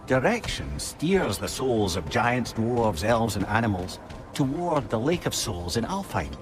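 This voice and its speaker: An actor with a Scottish accent playing a wry, learned old advisor in a video game, eloquent and theatrical. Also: male voice, mid-range voice, big pitch swings, unhurried, over music. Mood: gently explaining. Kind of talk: dramatic video game acting.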